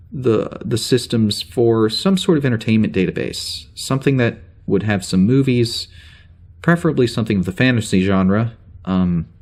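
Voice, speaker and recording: A man's voice: fairly low pitch, a little mellow. A man playing an anxious, rambling spaceship security officer in an audio drama, speaking a log in a hesitant, wry, self-correcting way.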